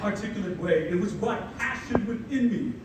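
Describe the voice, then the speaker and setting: A young man from the Philippines, a native Tagalog speaker, giving a ceremonial keynote speech with energetic, playful storytelling and dramatic pauses. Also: high-pitched. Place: large hall at a ceremony. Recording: echoey hall, mic far off, audible room noise.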